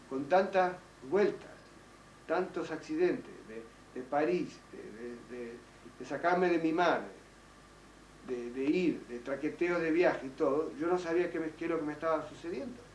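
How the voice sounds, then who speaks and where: Older man, native French speaker, halting with restarts, roomy room sound, set back from the mic. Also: fairly high pitch. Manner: halting, many restarts and fillers. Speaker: interviewee, child survivor. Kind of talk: recorded oral history testimony. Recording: roomy, distant, faint noise.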